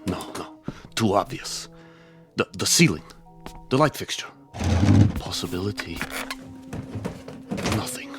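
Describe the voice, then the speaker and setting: An actor playing a proud, trapped military major in a radio drama, muttering tensely to himself in short, halting bursts. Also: male voice, lowish voice, huge pitch swings. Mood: wary, searching. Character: trapped Soviet major, tense, proud, desperately hunting for danger. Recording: music behind the voice.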